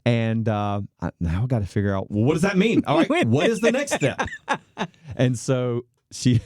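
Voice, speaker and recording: Animated man in his fifties, dry studio sound. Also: mid-range voice, moderate pace, no room sound. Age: 50s. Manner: animated, self-deprecating, laughs often, acts out quoted voices. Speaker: co-host telling his story. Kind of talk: casual conversational storytelling.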